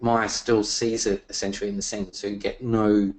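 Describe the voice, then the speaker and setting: A man presenting software features in a webinar, talking casually with many fillers, his voice getting worn and losing strength. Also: fairly low pitch, level tone, moderate pace. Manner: casual, many fillers and restarts, throat clearing.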